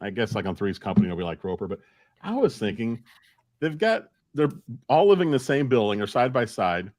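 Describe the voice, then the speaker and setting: A man's voice: fairly low pitch, sing-song, brisk, darkish tone, no room sound. An American man co-hosting a podcast, chatting casually with a group. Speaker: podcast co-host.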